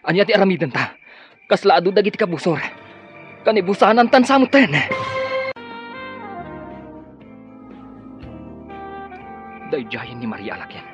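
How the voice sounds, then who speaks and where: An actor with a Filipino accent playing an earnest, grieving son bent on revenge in a radio drama. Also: male voice, high voice, sing-song, fast, faint music behind. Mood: alarmed. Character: vengeful grieving son hunting his father's killers.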